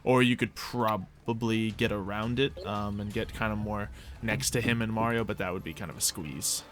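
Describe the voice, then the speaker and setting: A man's voice: on the low side, normal speed, a bit bright, faint music behind. A man acting as game master, explaining and narrating a tabletop roleplay game in a casual, conversational way.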